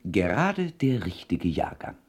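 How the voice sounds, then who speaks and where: Radio drama: patronizing, worldly male confidant, urbane and advising, very deep and husky. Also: big pitch swings, measured pace, exaggerated voice. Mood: reassuring, jovial.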